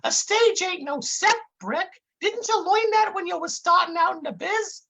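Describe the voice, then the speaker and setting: A man voicing a whiny, dim-witted male comedy sidekick who talks in a high, nasal whine. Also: vocal fry, bright, forceful tone, exaggerated voice. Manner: high whining, slow-witted.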